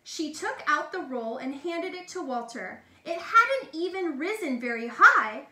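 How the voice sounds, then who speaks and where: A woman's voice: high voice, sing-song, unhurried, bright, forceful tone. A woman teacher narrating a children's storybook expressively and emphatically, speaking directly to young children. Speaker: teacher reading aloud to children. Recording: echoey room with faint noise.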